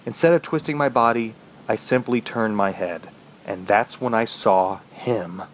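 An actor playing an injured, thirsty trapped man, narrating his ordeal in a brooding, halting, dramatic way for a horror radio play. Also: fairly low pitch, slight background noise, close to an everyday voice, poor audio. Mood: grim, brooding.

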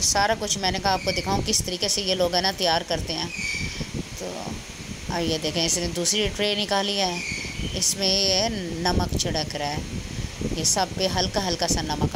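A woman gives a casual vlog voice-over in a conversational way, addressing the viewer as she goes. Her voice sits at an average pitch, and there is clear ambient noise behind her. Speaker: vlog host. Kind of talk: casual voice-over narration of travel footage. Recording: clear steady background noise.

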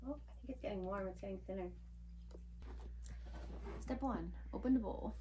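A woman's voice: medium pitch, normal speed. A woman in her thirties chatting casually to a camera a few feet away inside a cabin. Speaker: vlogger. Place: indoors in a log cabin. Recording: faint ambient noise, camera mic.

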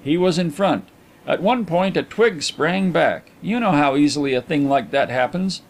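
A man voicing a placid, self-assured, good-humoured gentleman in an audiobook, with a suave and courteous manner. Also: fairly high pitch, normal speed, pitched above the performer's own voice, lightly acted. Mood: tranquil. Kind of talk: audiobook character acting.

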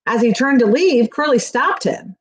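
A middle-aged woman reading a children's picture book aloud in an expressive storytelling manner. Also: on the high side, moderate pace. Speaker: school staff reading aloud. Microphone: webcam on a video call, near.

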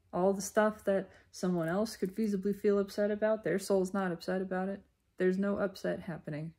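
Slow, gravelly woman musing reflectively. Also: medium pitch, a little mellow. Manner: deliberate, pausing mid-sentence in short phrases. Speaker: spiritual self-reflection vlogger. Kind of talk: slow reflective spiritual monologue.

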